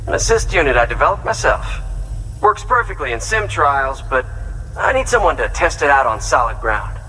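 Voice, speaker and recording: An actor playing an earnest, grateful shelter-born inventor in a video game, speaking in an emphatic, conversational way. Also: male voice, fairly high pitch, pushed and bright, recorded from a distance, slight background noise, poor audio. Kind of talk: video game cutscene acting.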